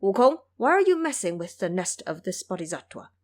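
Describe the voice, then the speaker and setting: A woman's voice: mid-range voice, very animated, fairly quick, darkish tone. A woman voicing an earnest, courteous male pilgrim monk who asks questions in an audio drama. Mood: puzzled, chiding.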